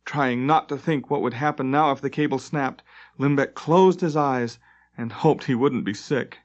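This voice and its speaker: A man's voice: medium pitch, normal speed. A man reading scripted fantasy narration dramatically, as an audiobook narrator. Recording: dull lo-fi tape transfer.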